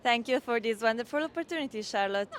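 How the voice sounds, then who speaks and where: Female student interviewee, twenties, Italian accent, slightly high pitch, faint ambient noise. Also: quick pace. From Italy. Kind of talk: informal interview answers.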